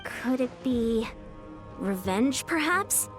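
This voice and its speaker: A woman's voice: a little high, expressive, slow pace, faint music behind. A woman voicing a spirited, confident young warrior in an anime game, direct and earnest in manner. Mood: puzzled.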